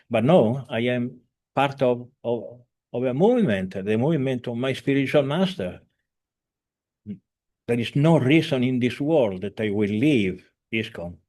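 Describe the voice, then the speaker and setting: A man in his seventies, a spiritual teacher, giving an earnest, halting talk over a video call, with many fillers, restarts and non-native grammar. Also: medium pitch, a little mellow. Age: 70s.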